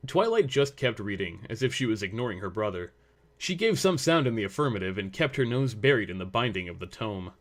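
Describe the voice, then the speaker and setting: A man serves as narrator for a piece of fiction. His voice sits fairly low as he reads the scripted prose. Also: big pitch swings, fairly quick.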